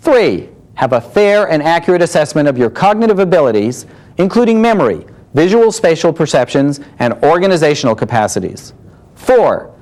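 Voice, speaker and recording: Higher-pitched man reading formal medical advice. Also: darkish tone. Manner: formal, measured enumeration. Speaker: doctor giving medical advice. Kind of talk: scripted advice segment.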